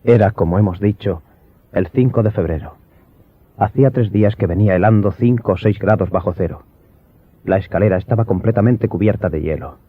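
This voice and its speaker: A very deep, husky man narrates a story from a script. The sound is dull, with faint music underneath. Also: no room sound.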